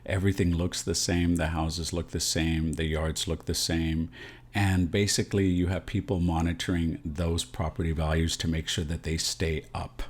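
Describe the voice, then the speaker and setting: Very deep-voiced American man. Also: level tone, normal speed. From San Antonio, Texas, USA. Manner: explanatory, often checks in with "right?". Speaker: realtor and video host. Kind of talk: direct-to-camera advice video.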